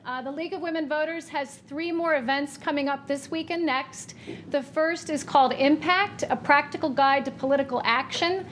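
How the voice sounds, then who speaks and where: A woman welcoming the audience and reading announcements at a public library forum, with a loud voice and careful, deliberate delivery of dates and times. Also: high voice, slight background noise. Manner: says she has a loud voice. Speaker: library communications manager introducing a forum. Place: public library meeting room.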